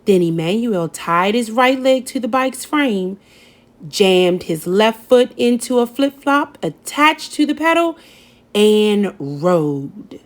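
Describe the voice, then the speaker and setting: A woman reading a children's picture book aloud, gentle and expressive, lifting her voice on key words. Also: mid-range voice, takes it slowly. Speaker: storybook reader. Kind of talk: children's read-aloud narration.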